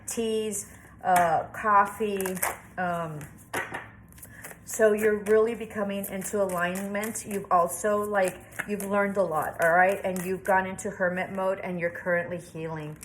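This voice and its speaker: A woman's voice: medium pitch, steady tone, a little slow, slight background noise, poor audio. Chatty, reassuring woman reading tarot. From Southwestern United States. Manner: chatty, reassuring, thinks aloud with many fillers. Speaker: tarot reader. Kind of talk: casual tarot reading to camera.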